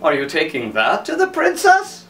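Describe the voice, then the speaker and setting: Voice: medium pitch, wildly swooping pitch, moderate pace, fairly bright tone. A storyteller voicing proud older brothers who scoff in a raised, scornful voice. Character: mocking older brothers, proud young men. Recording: roomy, from a distant mic.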